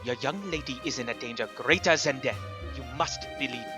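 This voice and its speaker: An actor playing a grave, cautious old foreign professor in a radio horror drama, with halting, emphatic phrasing. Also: male voice, mid-range voice, natural voice. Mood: ominous warning. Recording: loud music behind the voice.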